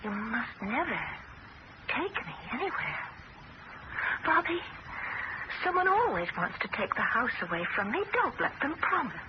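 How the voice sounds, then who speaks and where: A very old woman who will not give up her house, high and quavering, her pleading voice swooping up and down. The sound is a dull old radio broadcast with loud hiss behind it. It plays like a vintage radio play. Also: big pitch swings, unhurried, long pauses. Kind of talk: 1970s radio drama acting.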